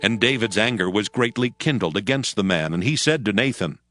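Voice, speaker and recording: A man's voice: on the low side, animated, brisk, fairly bright tone, no echo, faint music behind. A man reading scripted narration for a dramatized Bible audiobook.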